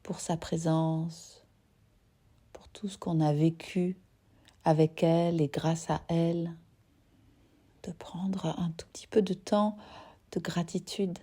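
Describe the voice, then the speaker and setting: A woman with a fairly low, very mellow voice leads a guided meditation. She takes her time, speaking very slowly and gently in short phrases. The ends of her phrases turn soft and breathy. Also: steady tone. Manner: gentle, unhurried, pausing between short phrases. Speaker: yoga nidra teacher.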